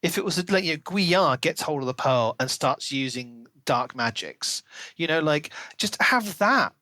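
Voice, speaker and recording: A man hosting a podcast, casually discussing and reviewing a book with animated retellings and asides. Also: on the high side, unhurried, dry recording. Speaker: podcast host.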